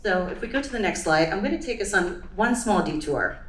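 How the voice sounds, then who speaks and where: A woman's voice: mid-range voice, lively intonation, fairly quick, a bit bright, far from the mic, slight background noise. A woman presenting to a live audience in a chatty way, often checking in with "right?" and "you know". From United States. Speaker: event speaker. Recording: echoey room, voice off-mic.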